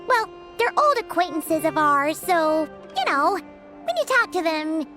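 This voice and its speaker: A very high, squeaky little fairy girl from a video game, chatters away perkily. The pitch bounces around in a childlike way, over clear background music. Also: big pitch swings, measured pace, full character voice. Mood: chatty, wary. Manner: perky, chatty. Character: small, chirpy female fairy companion, childlike and chatty.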